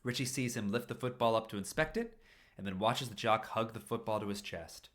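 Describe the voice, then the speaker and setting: A man's voice: fairly low pitch, a little fast, fairly bright tone. A man narrating a story aloud in an informal live reading, breaking off for apologetic asides. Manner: self-interrupting, apologetic asides. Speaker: streamer reading fan fiction aloud.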